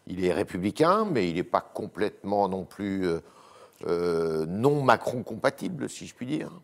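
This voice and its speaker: A man's voice: low voice, sing-song, moderate pace, vocal fry. A man interviewing a politician, asking probing questions with hesitant fillers and frequent interjections.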